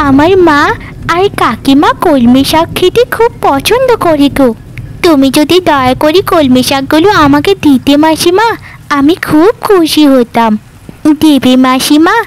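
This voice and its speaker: A woman's voice: high-pitched, a little hiss or hum, low-quality recording. A woman voicing an innocent, earnest little village girl in a cartoon, with a childlike manner. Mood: pleading. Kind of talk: Bengali cartoon dubbing.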